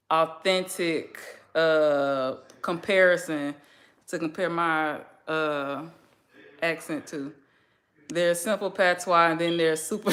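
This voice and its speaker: Chatty bright-voiced woman vlogger. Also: on the low side. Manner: chatty, laid-back, lots of fillers and slang. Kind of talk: casual chat with a friend.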